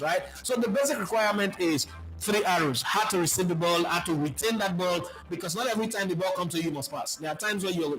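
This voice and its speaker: Animated high-voiced Nigerian football pundit. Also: male voice, low-quality recording. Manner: animated, preachy, lists players, mixes in pidgin. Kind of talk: casual commentary answering viewer questions.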